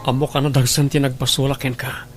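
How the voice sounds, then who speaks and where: A man's voice: mid-range voice, level tone, a little fast, soft background music, lightly acted. A man acting a young boyfriend, cocky and pleading by turns, in an Ilocano radio drama. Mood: remorseful. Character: reckless cocky young boyfriend on motorbike, later remorseful. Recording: noisy radio drama track.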